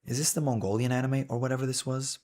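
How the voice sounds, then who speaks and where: A man's voice: mid-range voice, flat delivery, quick pace, darkish tone. A male streamer talking casually and wryly while browsing a list, rambling with frequent "dude" and "man".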